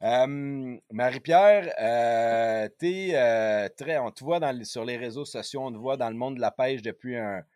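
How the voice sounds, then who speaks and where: A man's voice: mid-range voice, measured pace, few pauses, darkish tone, clean tone, no echo, studio quality. A man with a Quebec French accent hosting a podcast, chatting and interviewing casually in colloquial, slangy language. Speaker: podcast host.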